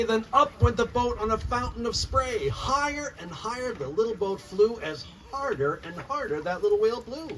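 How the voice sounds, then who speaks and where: A man reading a rhyming picture book aloud to young listeners in an expressive, animated storytelling style. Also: high voice. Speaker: children's author reading aloud. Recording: noisy, distant mic, live room ambience.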